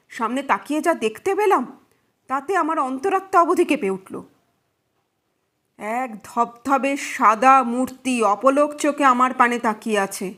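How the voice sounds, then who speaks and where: A woman's voice: fairly high pitch, a bit bright. A woman voicing a shaken young man in an audio story, earnestly recounting a frightening night in a dramatic, suspenseful way. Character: shaken young brother-in-law recounting a ghostly night visitor.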